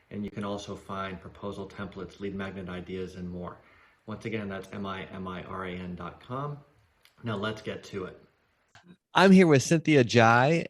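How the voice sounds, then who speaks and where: A man hosting an interview podcast, speaking in a conversational style. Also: fairly low pitch. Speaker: podcast host.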